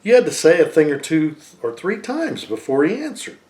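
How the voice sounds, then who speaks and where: A man's voice: fairly high pitch, very animated, fairly quick, a little mellow. Man reading a story aloud expressively. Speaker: father reading a story to family.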